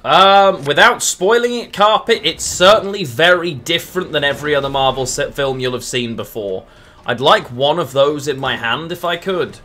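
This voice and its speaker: A man's voice: fairly high pitch, animated, moderate pace, pushed and bright. A man from England streaming a card game, thinking aloud between moves and answering chat casually with lots of murmured fillers. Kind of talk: casual live-stream chat while playing.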